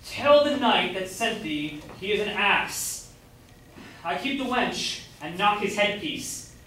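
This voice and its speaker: A man plays a defiant young rival in an old stage comedy, cocky and high-voiced. The recording is noisy and very echoey. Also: lively intonation, slow pace, sharp tone, exaggerated voice. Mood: cocky, taunting. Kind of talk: amateur staged reading of Jacobean comedy. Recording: distant echoey room, noticeable noise.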